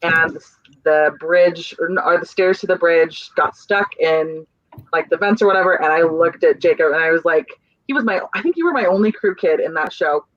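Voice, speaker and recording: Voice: medium pitch, normal speed, a bit bright, dry recording, highs cut off. A young adult stage manager in a casual panel chat, talking earnestly and searching for words as she rambles. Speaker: stage manager on a theater crew.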